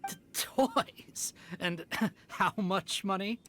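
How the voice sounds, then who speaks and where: A woman's voice: medium pitch, very slow, fairly bright tone. A woman playing a jeering, incredulous bandit with a mocking drawl in an anime-style video game. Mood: scoffing. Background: game music.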